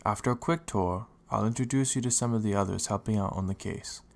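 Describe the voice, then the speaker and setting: A man voicing a fair-minded, reassuring Pokémon who leads an investigation in a fan audio drama, with a gravelly voice. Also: lowish voice, fairly quick. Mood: businesslike.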